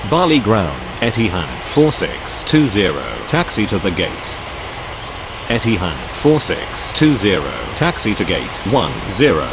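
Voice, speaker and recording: A man playing a formal, terse air traffic radio operator in a game, speaking in clipped scripted phraseology. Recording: very noisy game audio, vehicle rumble.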